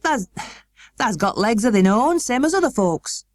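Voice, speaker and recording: A woman's voice: fairly high pitch, very animated, normal speed, no room sound, voice pushed up. A woman voicing a kindly, stout-hearted Yorkshire country boy in an audiobook, speaking rustic dialect in a hearty, reassuring way. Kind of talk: audiobook character acting.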